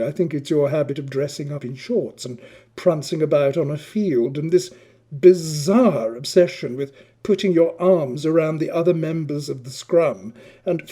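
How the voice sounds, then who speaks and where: Man playing a slow, theatrical camp schoolboy. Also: fairly high pitch, mellow, breathy edge, heavily acted. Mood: arch, defiant. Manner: theatrical, florid, affected drawl. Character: flamboyant, witty, camp teenage public schoolboy, teasing and grandiose.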